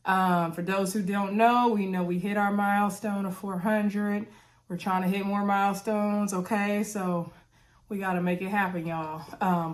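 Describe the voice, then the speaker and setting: A woman talks casually to her viewers, recapping a reality show. She is animated and chatty, and her voice sounds congested from a recent cold. Her pitch sits in the middle range. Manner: chatty, animated, says "y'all" and "anywho". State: congested, coughing.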